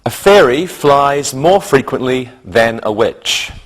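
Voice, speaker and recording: Slow-paced male English teacher. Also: mid-range voice, animated, faint music behind, low-quality recording. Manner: deliberate, instructional delivery. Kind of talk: scripted English lesson for beginners.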